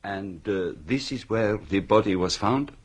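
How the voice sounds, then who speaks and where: An actor playing a polite, precise retired foreign detective in a radio drama, with a French-speaking accent and formal, deliberate manner. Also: male voice, fairly low pitch, slightly rough. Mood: probing.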